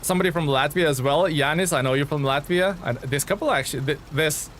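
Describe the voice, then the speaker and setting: A man's voice: fairly high pitch, fast talker, slight background noise. Chatty streamer man. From Lithuania. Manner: relaxed, chatty, many fillers and restarts. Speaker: game streamer. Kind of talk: casual live-stream chat reading viewer comments.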